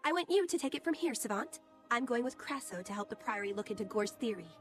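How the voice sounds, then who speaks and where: An actress playing a brisk, scheming mentor who gives orders in a fantasy video game. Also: female voice, on the high side, animated, faint music behind, lightly acted. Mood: directive.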